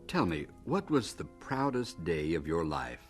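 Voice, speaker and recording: An actor playing a respectful, slightly incredulous interviewer in an audio drama. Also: male voice, low-pitched, big pitch swings, unhurried, over music. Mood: curious. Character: curious male interviewer, respectful, a little incredulous.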